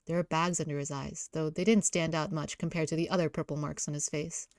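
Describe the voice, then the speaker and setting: A woman's voice: deep voice, fairly even intonation, fairly quick, darkish tone, no room sound, clean studio sound. A woman reading story narration aloud in a visual novel readalong.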